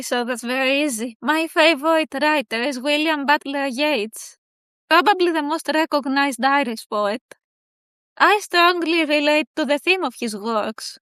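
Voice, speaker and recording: A woman's voice: high voice, fairly bright tone, no echo, studio quality. A woman whose first language is Greek, answering interview questions as a poet in a thoughtful, hesitant way with many fillers. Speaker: poet guest.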